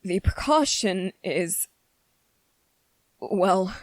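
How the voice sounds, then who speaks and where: A woman voicing a gentle, anxious young prince in a fantasy ASMR roleplay, speaking politely and formally with hesitant, halting phrasing. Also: medium pitch, animated, no room sound. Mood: apologetic, reassuring. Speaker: voice actor in audio roleplay. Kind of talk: ASMR character roleplay, scripted fantasy monologue.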